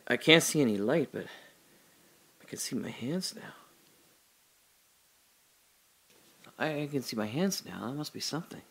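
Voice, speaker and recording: An actor playing a lone young man trapped in the dark, talking to himself in a restless, rambling way. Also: on the low side, vocal fry, breathy edge, full character voice, low-quality recording. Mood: hushed. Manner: restless, talking to himself, swings from hushed to loud. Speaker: radio drama actor. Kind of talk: solo audio drama monologue.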